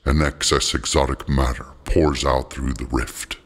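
A man voicing an ancient otherworldly being, solemn, cryptic and measured, with a gravelly, husky voice, in an audiobook. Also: a bass voice, fairly even intonation, pitched below the performer's own voice, heavily acted. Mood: solemn, instructive. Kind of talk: audiobook character voice.

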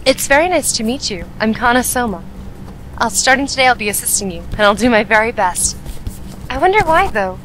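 A bubbly, earnest young assistant played by a woman with a fairly high voice. She is polite, curious and chatty in radio drama style, with music clearly underneath. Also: expressive, a little fast, fairly bright tone, rough recording. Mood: bright, friendly.

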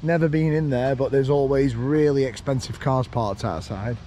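Chatty man with a mellow voice. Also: mid-range voice, normal speed, a little hiss or hum. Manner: relaxed, chatty, pointing things out. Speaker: vlogger. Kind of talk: casual walking tour commentary. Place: outdoors walking around a lakeside town.

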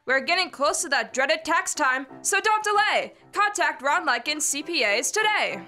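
A woman's voice: sits high, lively intonation, moderate pace, music in the background. A young woman playing a peppy, chummy announcer on an old-time radio show. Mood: peppy, selling. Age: teens to young adult. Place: school library room, staged performance. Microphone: stand microphone on stage.

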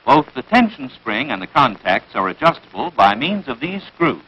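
An American man reading scripted narration for a 1940s military training film in a crisp, instructive manner, on a vintage film soundtrack. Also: medium pitch, very animated, moderate pace, pushed and bright, muffled recording.

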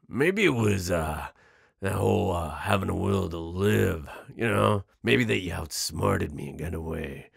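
A man playing an amused, flirtatious and menacing werewolf in an intimate roleplay audio drama, gravelly and husky, drawling and teasing. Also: sits low, no room sound, studio quality, heavily acted. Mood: smitten, teasing. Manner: drawling, teasing, with breathy chuckles and hesitant asides.

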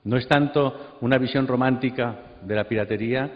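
A man's voice: mid-range voice, normal speed. A man in a panel audience, offering spontaneous conversational commentary on literature. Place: large auditorium during a panel. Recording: muffled, echoing auditorium sound.

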